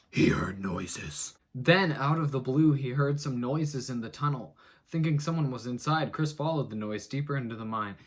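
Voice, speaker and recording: Theatrical man reading aloud. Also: medium pitch. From United States. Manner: mock-dramatic, swings between loud and hushed. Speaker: host. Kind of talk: comic dramatic reading with asides.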